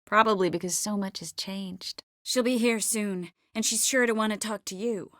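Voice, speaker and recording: An actress playing a young sorceress in a fantasy video game, caring, wry and passionate, with an expressive, clearly articulated, intimate delivery. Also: female voice, mid-range voice, normal speed, no room sound, studio quality, lightly acted. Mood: measured, grave.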